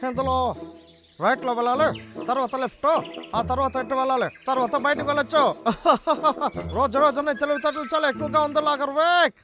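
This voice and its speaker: A man's voice: very high-pitched, brisk, pushed and bright, faint music behind. A man voicing a cocky, bumbling logger villain who talks theatrically to himself in a dubbed children's cartoon. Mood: gloating.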